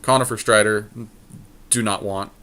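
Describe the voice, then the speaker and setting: A man's voice: fairly low pitch, steady tone, moderate pace, pushed and bright. A man chatting casually as a podcast co-host, weighing card game choices as he thinks aloud. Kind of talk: casual chat while playing a card game draft.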